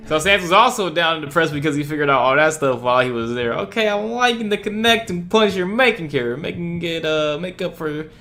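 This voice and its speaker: A man's voice: a little high, lively intonation, a little fast, hardly any pauses, sharp tone, faint music behind. A man hosting a reaction video with casual, informal, slangy commentary.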